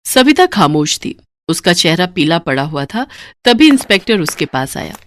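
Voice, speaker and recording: A woman's voice: fairly low pitch, big pitch swings, moderate pace, slight background noise. A woman narrating a story in a dramatic, scripted storytelling style.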